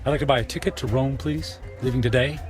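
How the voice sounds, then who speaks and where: A man's voice: mid-range voice, steady tone, fast, lightly acted. An actor playing a self-absorbed rich businessman on a reckless spree in a radio drama, with a stammering, theatrical, effusive delivery. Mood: impatient, curt. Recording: music behind the voice.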